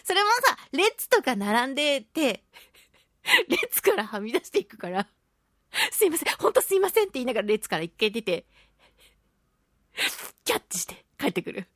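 Lady radio host, high voice, super animated casual storytelling, dry studio sound. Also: normal speed, pauses often, no room sound. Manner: animated, acts out quoted remarks. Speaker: radio show host, voice actress.

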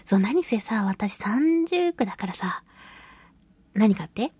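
A woman hosting a solo radio show, chatting in an animated, self-deprecating storytelling manner. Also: mid-range voice, slow pace, slightly rough, dry recording. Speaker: radio show host and voice actress.

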